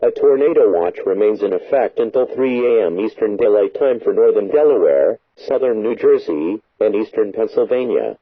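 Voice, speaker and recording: A synthetic-sounding man reads scripted emergency weather warnings as a broadcast announcer, formal and flat, with a radio alert sound. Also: lowish voice, moderate pace, hardly any pauses, husky. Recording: muffled, echoey lo-fi radio broadcast.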